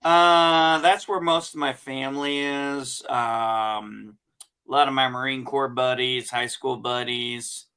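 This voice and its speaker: A man chatting casually as a podcast co-host, rambling slowly through stories with many restarts. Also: mid-range voice, fairly even intonation, pushed and bright, clean tone. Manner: slow, rambling storyteller with restarts. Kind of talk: casual chat.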